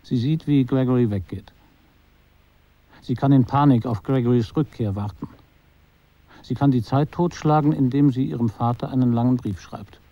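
An actor narrating a German radio drama as a detached, quietly observant storyteller, measured and understated. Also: male voice, medium pitch, no room sound, muffled recording, natural voice.

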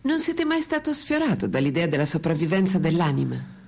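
A woman's voice: on the low side, sing-song, fairly quick, gravelly, a little mellow, slightly rough, exaggerated voice. An actress in a radio play voicing a thoughtful, candid French woman intellectual with an articulate, reflective way of speaking. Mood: thoughtful, probing. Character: female French intellectual, philosopher's lifelong companion, thoughtful, candid. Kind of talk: radio play dialogue and monologue.

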